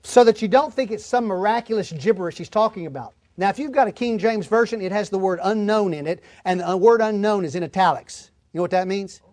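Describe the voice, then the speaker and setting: A man's voice: high voice, brisk, hardly any pauses, a little mellow, no echo, clean studio sound. A man preaching a verse-by-verse Bible lesson in an emphatic, folksy, plain-spoken way. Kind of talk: Bible-teaching sermon, verse-by-verse reading and explanation.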